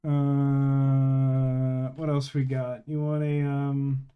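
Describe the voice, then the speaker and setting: A man's voice: mid-range voice, monotone, extremely slow, phone-quality sound, a little hiss or hum. A man chatting casually on a live game stream, rambling and emphatic, with slangy phrasing.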